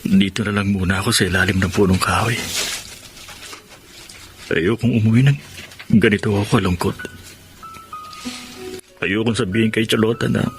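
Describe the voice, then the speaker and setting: Male actor as remorseful ex-convict, Filipino accent, gentle, earnest, emotive radio drama, prominent background music. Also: lowish voice, steady tone, normal speed, long pauses, close to an everyday voice. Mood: sorrowful, pleading. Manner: earnest, emotive, talking to himself.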